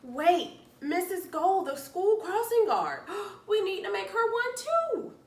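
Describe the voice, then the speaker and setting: A woman voicing an earnest, easily worried young male cat in an expressive children's storybook read-aloud. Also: very high-pitched, slow pace, pitched above the performer's own voice, exaggerated voice. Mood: urgent. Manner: exclamatory, expressive. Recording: roomy, distant mic, faint noise.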